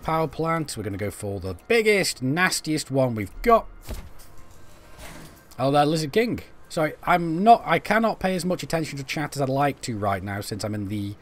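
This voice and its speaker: Lively British man streaming a game. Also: fairly high pitch, moderate pace, soft background music. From Britain. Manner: rambling, self-deprecating, chats to viewers while fiddling with menus. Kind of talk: casual live gameplay commentary.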